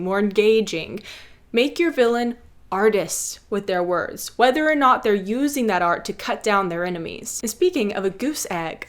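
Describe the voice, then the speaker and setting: A woman hosting a video essay, narrating a scripted lesson and playfully addressing viewers like a schoolteacher. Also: medium pitch.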